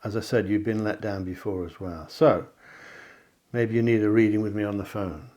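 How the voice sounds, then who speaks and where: A middle-aged man, a psychic reader, chatting through a card reading with reassuring asides and a slightly hoarse voice. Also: fairly low pitch, steady tone, moderate pace, darkish tone. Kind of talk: tarot card reading to camera.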